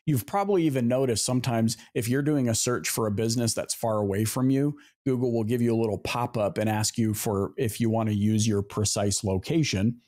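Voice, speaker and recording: A man's voice: medium pitch, a little mellow. Conversational man hosting a marketing show. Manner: conversational, emphatic with rhetorical pauses and asides. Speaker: podcast host. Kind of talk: casual marketing talk to viewers.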